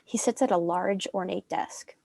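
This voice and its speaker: A woman's voice: medium pitch, normal speed, vocal fry, dark tone, dry recording. A woman reading stage directions as narrator of a scripted drama.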